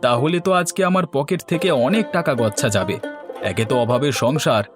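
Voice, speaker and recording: A man's voice: mid-range voice, lively intonation, a little fast, natural voice. A man voicing a worrying, big-eating village husband and uncle in a cartoon. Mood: fretful, chatty. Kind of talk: cartoon dialogue. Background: instrumental music.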